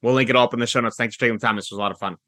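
A man hosts an interview show in a conversational way. His voice is mid-range and notably bright, with a crisp edge of energy. It is recorded dry and close. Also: medium pitch, steady tone, rapid-fire, dry recording. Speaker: podcast host.

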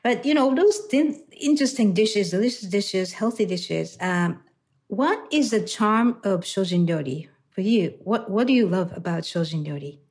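A woman's voice: medium pitch, lively intonation, normal speed, darkish tone. A woman hosting an interview, chatting casually and asking questions. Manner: chatty, with fillers and restarts. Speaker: interview host. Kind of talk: conversational interview.

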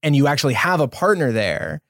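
A man's voice: a little high, sing-song, brisk, a bit bright, no room sound, studio quality. A man with an American accent, a startup founder explaining things earnestly in a casual interview.

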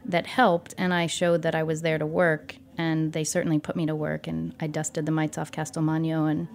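A woman's voice: low voice, monotone, normal speed, vocal fry. A woman in her thirties, a guest on an interview podcast, telling her story casually with many fillers. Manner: storytelling, thoughtful, with frequent fillers.

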